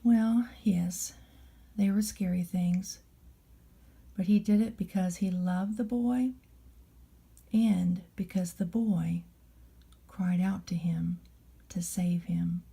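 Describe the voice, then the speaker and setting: A woman narrating a children's storybook in a gentle, deliberate storytelling manner, as a nanny would to a small child. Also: lowish voice, steady tone, creaky, slightly rough.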